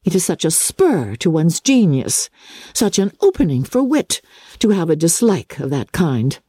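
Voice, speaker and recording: A woman voicing a witty, candid, spirited young English gentlewoman in a novel reading, with a wry, teasing, articulate manner. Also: lowish voice, very animated, a little slow, husky, no echo, heavily acted. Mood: playful irony. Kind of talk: dramatic classic novel reading.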